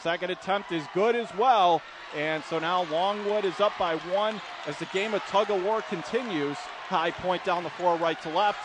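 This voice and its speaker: A male radio announcer with a notably high voice calls live basketball play-by-play. He describes the game in brisk, clipped phrases. Clear gym noise and ball bounces sit behind him. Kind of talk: live radio sports commentary. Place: indoor basketball arena. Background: loud game ambience, basketball bounces.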